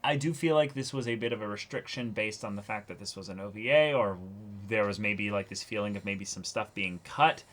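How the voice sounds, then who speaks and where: A man's voice: lowish voice, fairly quick, few pauses, a bit bright. A man co-hosting a reaction show, casually talking through an anime episode in a conversational way.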